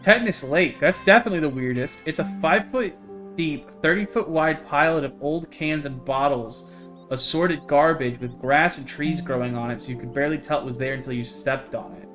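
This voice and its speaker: A man's voice: fairly high pitch, fairly quick, over music, lightly acted. A man voicing a chatty, carefree young woman in a visual novel, in a breezy, rambling storytelling manner. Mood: chatty, enthusiastic. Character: chatty, carefree young woman who hikes hunting cryptids.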